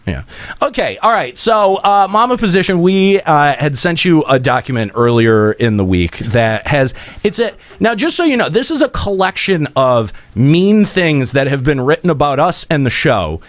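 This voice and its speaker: A man hosting a radio show with casual banter and rambling, halting phrasing. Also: a little high, very animated. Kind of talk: casual banter between hosts.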